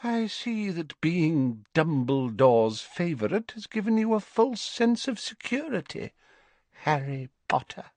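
A man voicing a cold, haughty aristocratic mother who speaks with quiet menace. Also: fairly high pitch, very animated, measured pace, no room sound, voice pushed up. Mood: icy.

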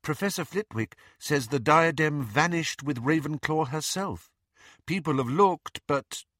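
A man puts on a teenage schoolgirl for an audiobook. The voice is raised and bright, with an earnest, appealing, helpful manner. The sound is dry and close. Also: on the high side, measured pace, dry recording, pitched above the performer's own voice. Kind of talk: audiobook character voice.

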